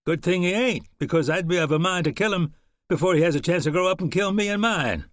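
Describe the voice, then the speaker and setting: A brutal frontier horseman spits his words in a high, loud, sneering drawl, put on by a male narrator. The storybook delivery is dry and close to the mic, and the voice stays harsh and scornful throughout. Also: expressive, quick pace, dry recording.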